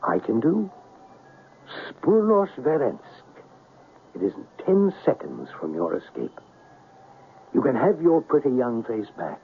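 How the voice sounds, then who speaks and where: A commanding alien exile speaks in grand, measured phrases with a fatherly touch. His mid-pitched voice rises and falls dramatically. It comes through a narrow, faintly noisy vintage radio drama recording. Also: sing-song, creaky, full character voice. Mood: proud, taunting. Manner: theatrical, measured, declamatory. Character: worldly exiled alien scoundrel, commanding and gently paternal. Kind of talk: 1970s radio drama acting. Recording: muffled, noisy old radio broadcast.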